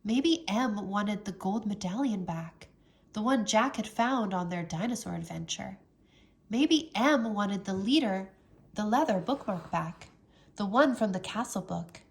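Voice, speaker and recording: Slow, expressive woman storyteller. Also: medium pitch. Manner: expressive, dramatic. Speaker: English teacher reading aloud. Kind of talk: storybook narration for children.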